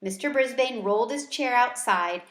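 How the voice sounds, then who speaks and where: A woman reading a children's book aloud in an expressive storytelling style. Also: a little high, moderate pace, echoey room. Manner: expressive, acting out character dialogue.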